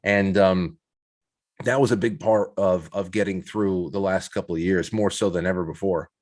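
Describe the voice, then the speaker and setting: A man speaking reflectively in a conversational interview, haltingly repeating words as he builds his points. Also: deep voice, steady tone, darkish tone. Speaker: podcast guest, show host.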